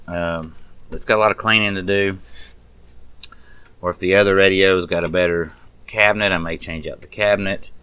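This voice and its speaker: A man with a low-pitched voice talks casually through restoration work. He sounds unscripted and folksy, often half talking to himself as he handles what is in front of him. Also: steady tone, normal speed, long pauses, pushed and bright, clear, resonant tone. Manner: unscripted, muttering to self while working. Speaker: radio restoration hobbyist, presenter. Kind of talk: casual hands-on restoration walkthrough.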